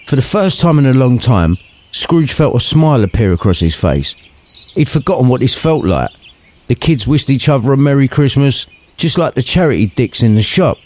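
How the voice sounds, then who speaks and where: Mellow male storyteller, cheeky and irreverent. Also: medium pitch, big pitch swings, moderate pace. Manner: cheeky, sweary asides to the listener. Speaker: narrator. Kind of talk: scripted, irreverent storybook narration.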